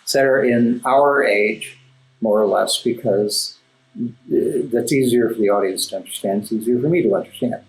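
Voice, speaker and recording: A man speaking as an interview guest, explaining and telling stories in a thoughtful, halting way with emphatic asides. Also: fairly low pitch. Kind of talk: conversational storytelling and explaining in an interview. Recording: roomy echo, music underneath. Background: classical background music.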